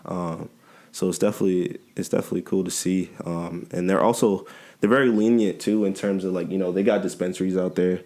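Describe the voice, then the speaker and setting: Male podcast co-host, low pitch, easygoing, conversational. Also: fairly even intonation, fairly quick, a little mellow. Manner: laid-back, keeps asking "you know what I'm saying". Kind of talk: casual chat.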